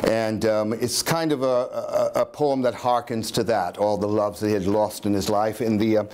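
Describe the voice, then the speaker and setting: A man's voice: fairly low pitch, steady tone, moderate pace, darkish tone. An older man introducing poetry at a live reading, hesitant as he speaks, recorded by a camera in a room. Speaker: dramatic reader presenting poetry. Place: dim room in an old house, indoors.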